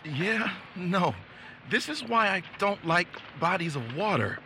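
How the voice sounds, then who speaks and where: A man's voice: high-pitched, animated, a little slow, full character voice. An actor playing a conflicted, self-deprecating young man in an audio drama, talking hesitantly and naturally. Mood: uneasy. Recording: loud outdoor ambience noise.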